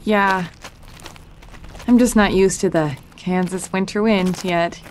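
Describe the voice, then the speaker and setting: A woman's voice: medium pitch, fairly even intonation, slow, darkish tone, natural voice. Woman playing an earnest schoolgirl, noisy recording. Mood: hesitant, wistful. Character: defiant, sensitive schoolgirl, new in town. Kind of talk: audio drama acting.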